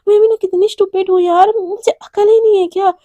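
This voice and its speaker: A worried, caring young woman, voiced by a woman storyteller, coaxing and fussing in soft repeated phrases. High, mellow tone with dry, close sound. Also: fairly even intonation, fairly quick, no room sound.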